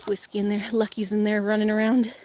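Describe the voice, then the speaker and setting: A woman's voice: medium pitch, monotone, fairly quick, dark tone, slight background noise. A woman who sounds sniffly from allergies, chatting in a rambling way to a live audience while she shovels compost outdoors. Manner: rambling, says "like" often. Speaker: animal channel host. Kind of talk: casual chat to a live audience while gardening. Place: outdoors in a farmyard garden.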